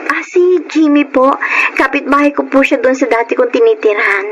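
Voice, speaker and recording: An actress playing a meek, polite young housemaid in a Tagalog radio drama, speaking in a deferential, earnest way. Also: female voice, high voice, moderate pace, fairly bright tone. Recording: noisy radio drama recording.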